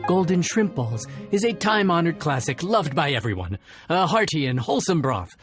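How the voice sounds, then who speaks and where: A man voicing a proud, courteous chef in a video game, speaking in a measured, earnest way over music. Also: on the high side, very animated, husky, no room sound. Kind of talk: video game character acting.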